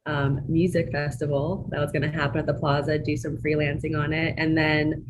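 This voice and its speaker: Low-pitched woman over clear background noise. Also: little pitch movement, moderate pace. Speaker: interview guest, marketing manager. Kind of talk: casual conversational interview talk. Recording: noisy background ambience.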